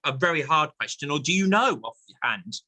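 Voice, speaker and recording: A man's voice: on the high side, expressive, normal speed, bright, forceful tone, no room sound. A man hosting a casual, chatty interview, asking questions and agreeing along. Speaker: host.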